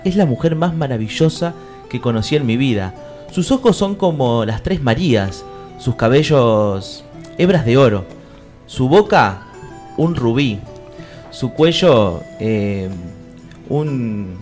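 An actor with an Argentine accent playing a crude, lovestruck fairy-tale prince in a comic radio play, mock-courtly and slipping into street slang. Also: male voice, medium pitch, darkish tone. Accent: Argentine, Rioplatense. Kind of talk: comic radio theatre parody.

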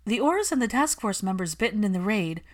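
A woman voicing a guarded, self-reliant female character in a story reading, terse and even-tempered. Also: mid-range voice, quick pace, pitched above the performer's own voice, natural voice. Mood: inquiring.

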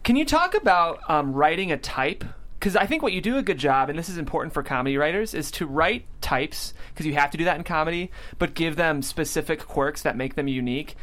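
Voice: medium pitch, expressive, fairly quick, fairly bright tone. An enthusiastic, chatty host conducting a live vodcast interview.

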